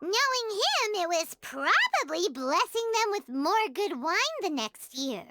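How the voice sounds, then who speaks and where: A woman voicing a tiny, childlike, excitable fairy companion in a video game, chirpy in manner. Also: very high voice, a little slow, heavy background noise, heavily acted. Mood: wry. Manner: chirpy, speaks of herself in third person. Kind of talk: video game character acting. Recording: music behind the voice.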